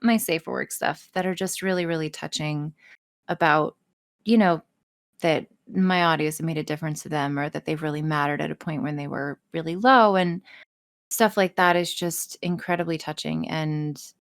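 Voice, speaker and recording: A woman's voice: deep voice, fairly even intonation, normal speed. A woman voice actress chatting casually and candidly as an interview guest, frank, self-deprecating and free with swearing. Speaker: voice actress and audio creator being interviewed.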